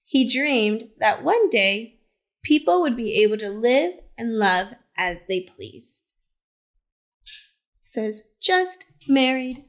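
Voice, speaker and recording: A woman reading a picture book aloud to children as a teacher librarian, in simple, deliberate phrases with short asides. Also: on the high side, sing-song. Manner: simple, deliberate phrasing addressed to young listeners.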